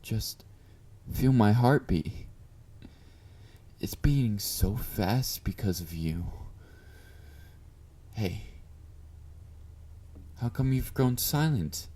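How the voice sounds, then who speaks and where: Casting: male actor for a clingy yandere admirer in audio roleplay, dark soft timbre, slow delivery, flirty and self-assured. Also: on the low side, animated, full character voice. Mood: tender, clingy. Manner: doting, confident, coaxing with pet names. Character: obsessive yandere male fan, clingy, flirty, confident stalker. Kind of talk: intimate roleplay monologue addressed to the listener.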